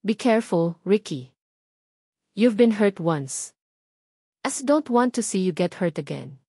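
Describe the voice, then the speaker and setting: A woman's voice: medium pitch, animated, takes it slowly, warm tone, slightly rough, no room sound. Storybook villainess, played by a female narrator: jealous, polished, pointed emphasis, sugary menace, dry sound. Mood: feigned concern. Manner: pointed, emphatic, switching between languages. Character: snide, fake-sweet jealous woman, polished and threatening. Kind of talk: storybook drama dialogue.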